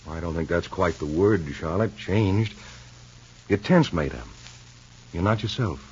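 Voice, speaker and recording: An actor playing a calm, kindly, reassuring man in a measured 1950s radio soap style. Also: sits low. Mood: calm concern. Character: calm, kindly, perceptive husband and friend. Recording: dull, noisy vintage radio recording.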